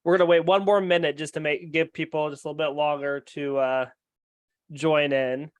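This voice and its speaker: A male research network coordinator opening a webinar, speaking in a brisk, practical way. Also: on the high side, fairly even intonation, clear, resonant tone, no room sound. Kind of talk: webinar welcome.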